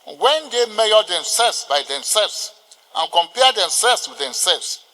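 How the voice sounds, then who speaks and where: An older man with a Nigerian accent gives a formal ceremonial speech, exhorting his listeners deliberately with emphatic pauses. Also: high-pitched, very animated, bright, forceful tone. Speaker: senior lawyer addressing a ceremony. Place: large indoor courtroom. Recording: reverberant hall with long echo.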